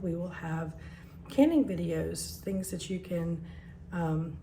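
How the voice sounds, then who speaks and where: Southern us lady doing a homey rambly vlog, kinda hoarse mellow voice, bit of noise behind her. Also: fairly low pitch. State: slightly hoarse after a cough and lost voice. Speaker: home canning video host. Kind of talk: casual chat to viewers.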